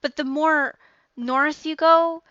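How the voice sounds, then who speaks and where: A woman's voice: high voice, fairly even intonation, slow, pushed and bright, no echo, dull audio. A woman with an Ontario Canadian accent chatting casually while streaming a game. She laughs often and trails off mid-sentence.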